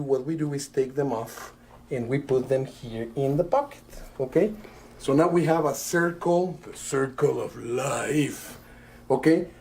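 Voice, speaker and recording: A middle-aged magician, chatty and a little halting, breaking his patter with fillers. His voice sits at an ordinary pitch. Faint noise hums behind him. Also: a little mellow. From Italy. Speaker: magician guest. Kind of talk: live rope trick patter.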